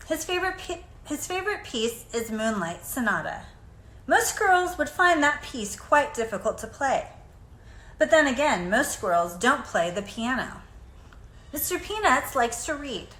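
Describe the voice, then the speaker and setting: A woman reading a children's picture book aloud in an expressive, deliberate storytelling manner. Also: sits high. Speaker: storybook reader. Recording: echoey room, faint background noise.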